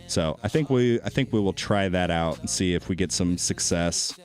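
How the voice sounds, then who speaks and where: A man's voice: low voice, moderate pace, darkish tone, faint music behind. A man streaming a game and chatting casually with viewers, laid-back and thinking aloud, with a soothing voice. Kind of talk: casual gameplay commentary with chat.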